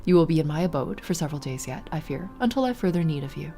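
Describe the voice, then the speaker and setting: A woman voicing a cold, haughty female vampire noblewoman who speaks slowly and with arch formality. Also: low-pitched, lively intonation, dark tone, voice pushed down. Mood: silky. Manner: slow, purring, archly formal.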